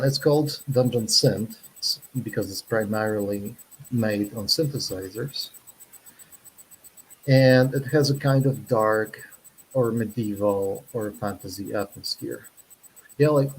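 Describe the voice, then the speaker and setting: A Polish man speaks English as a second language, presenting over a video call in a low, slow, measured voice. He talks carefully, with frequent pauses and fillers. A faint hiss sits under the line. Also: poor audio. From Poland. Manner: careful, unhurried, self-conscious, with many pauses and fillers. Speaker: zine editor giving a presentation. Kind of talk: casual online presentation.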